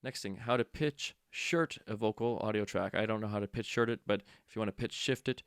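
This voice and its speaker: Low, creaky-voiced man giving a tutorial. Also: fairly even intonation, brisk, no echo, studio quality. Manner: informal, offhand, thinking aloud while demonstrating. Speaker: instructor. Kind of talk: casual software tutorial walkthrough.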